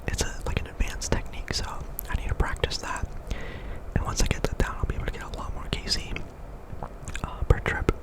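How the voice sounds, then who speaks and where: A man giving ASMR game commentary in a breathy, unvoiced whisper, often softly repeating words and phrases. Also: a little fast. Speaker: gaming video host.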